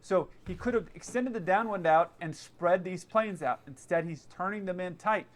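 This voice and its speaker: A man with a noticeably high-pitched voice gives explanatory commentary. He talks casually and offers his opinions plainly, like someone who knows the subject firsthand. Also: normal speed. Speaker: pilot giving commentary. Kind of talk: explanatory commentary on air traffic radio.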